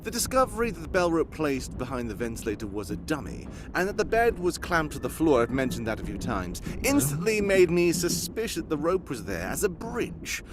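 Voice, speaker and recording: A man's voice: fairly high pitch, big pitch swings, fairly quick, hardly any pauses. A man playing a brilliant, self-assured, commanding male detective in a live radio drama, theatrical and pompous in delivery. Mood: self-satisfied explaining. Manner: theatrical, bossy, pompous monologuing. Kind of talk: live cold-read recreation of 1940s radio drama. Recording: live stage recording with clear background noise.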